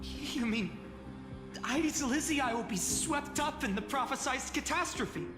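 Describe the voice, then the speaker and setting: A man's voice: very high-pitched, expressive, unhurried. A man playing an earnest, brave village boy hero in a fantasy game, with a thoughtful, formal way of talking. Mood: alarmed. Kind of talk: dramatic fantasy game voice acting. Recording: echoing reverb, prominent music underneath.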